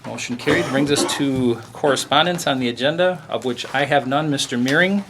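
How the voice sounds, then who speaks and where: A man chairing a school board meeting in a procedural, brisk way. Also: medium pitch, background noise.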